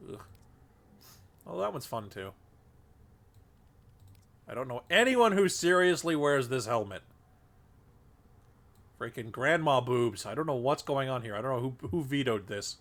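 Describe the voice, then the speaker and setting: A man's voice: mid-range voice, animated, measured pace, long pauses, fairly bright tone. A congested man casually thinking aloud while streaming a game, rambling with lots of fillers. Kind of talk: casual gameplay commentary. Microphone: desk microphone.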